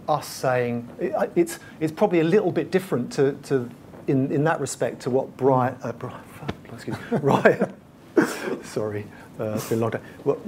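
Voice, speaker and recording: A man moderating a panel on a conference stage. He talks at a middling pitch and animates his points by acting out quoted voices, with a faint hum of the venue behind him. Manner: lively, quick asides, acts out quotes.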